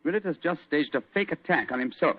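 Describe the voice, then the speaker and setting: An actor playing a superior, brilliant English gentleman detective with a British accent and an assured, condescending manner in an old radio drama. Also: on the high side, lively intonation, fast, husky. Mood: assured, explaining. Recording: tinny narrow-band old radio recording.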